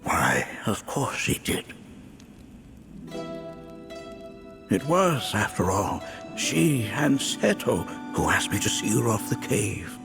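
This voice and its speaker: Grave old sage, slow and creaky. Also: male voice, fairly low pitch, very animated, exaggerated voice. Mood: gentle, proud. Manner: formal, archaic, theatrical, chuckling sage. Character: wise old village elder, grave, gentle mentor. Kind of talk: video game cutscene voice acting. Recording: loud music behind the voice.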